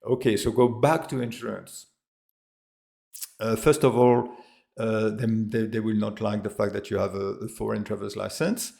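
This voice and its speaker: A man's voice: lowish voice, little pitch movement, normal speed, vocal fry, mellow. A middle-aged man with a French accent talks casually to camera, hesitant and full of fillers and restarts. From France and Australia. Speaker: expat vlogger.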